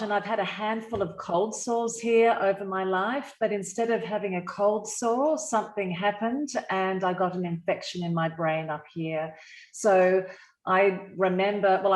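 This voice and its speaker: An Australian woman, a guest on a video call, telling her own story in a chatty, upbeat way. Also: fairly low pitch. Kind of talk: casual personal account. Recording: echoey room on a call.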